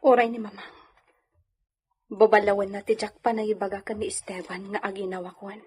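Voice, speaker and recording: A woman's voice: medium pitch, fairly even intonation, fairly quick, a little mellow, a little hiss or hum, close to an everyday voice. A woman in a radio drama playing a troubled young married woman brooding over her family. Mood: worried.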